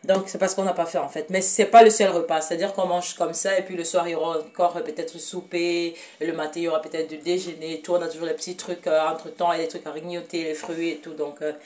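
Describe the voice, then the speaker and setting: A woman's voice: lowish voice, flat delivery, fast talker, few pauses, darkish tone, far from the mic. A woman from Cameroon with a Cameroonian French accent, hosting a casual home cooking demonstration in her kitchen, talking hesitantly with many fillers and self-corrections and addressing viewers fondly. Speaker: cooking show host.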